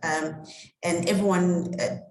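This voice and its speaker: A woman's voice: fairly low pitch, steady tone, unhurried, darkish tone. Woman in an echoey room. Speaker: discussion moderator. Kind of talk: welcome address at an online panel.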